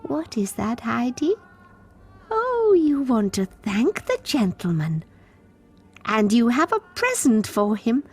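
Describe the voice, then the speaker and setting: Childish disturbed female patient, high swooping voice, plaintive, confiding, game character. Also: huge pitch swings, takes it slowly, a little mellow, soft background music, exaggerated voice. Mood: sweet, confiding. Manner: childish, plaintive, drifting into breathy confiding whispers. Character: childlike disturbed female asylum patient devoted to her doll. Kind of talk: video game voice acting.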